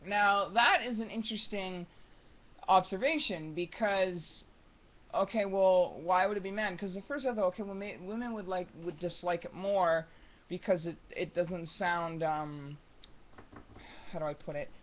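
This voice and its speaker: A young man with a high, soft voice talking unscripted at home, rambling with many ums and restarts. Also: measured pace. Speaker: vlogger. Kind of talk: unscripted casual monologue. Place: room at home. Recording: muffled lo-fi home recording.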